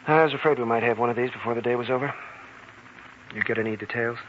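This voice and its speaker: A man's voice: mid-range voice, quick pace, fairly bright tone, close to an everyday voice. A man playing a tense young hospital intern in a 1940s radio drama. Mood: tense, questioning. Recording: dull 1940s radio recording, faint noise.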